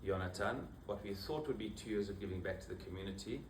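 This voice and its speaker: Male rabbi, 50s, South African accent, tired and halting delivery, distant mic with background noise. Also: fairly low pitch, reverberant. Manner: halting, many fillers and restarts. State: low-energy, weary. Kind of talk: informal talk to a small group.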